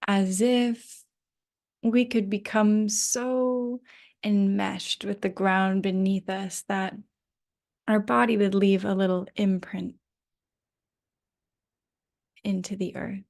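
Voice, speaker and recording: A woman leads a guided rest meditation at a very slow pace, leaving long, unhurried pauses. Her voice has a pronounced vocal fry and slips into breathy, almost whispered phrase endings. The delivery stays gentle throughout. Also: medium pitch, little pitch movement. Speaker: yoga nidra guide.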